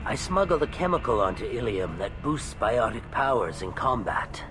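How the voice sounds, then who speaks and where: A man's voice: medium pitch, very animated, moderate pace, natural voice, low-quality recording. Guy doing shady little alien smuggler from a video game, jittery wheedling voice, really up and down, music under it. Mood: anxious. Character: shifty, nervous alien merchant and smuggler. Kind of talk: video game character acting.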